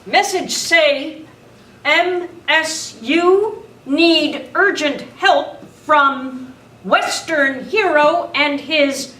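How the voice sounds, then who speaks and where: A woman playing an eager young Navajo boy in an amateur radio western, talking in excitable, childlike broken English. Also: sits high, very slow, full character voice. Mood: excited. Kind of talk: amateur old-time radio western acting. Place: club meeting room, far camera. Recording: echoey room, distant camera mic.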